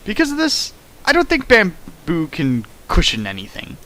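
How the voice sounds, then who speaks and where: A man's voice: high voice, wildly swooping pitch, normal speed, pushed and bright. A man reading a fan fic aloud for a stream, breaking off into sarcastic comments and sudden sing-song bits. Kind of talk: comedic dramatic reading with riffing.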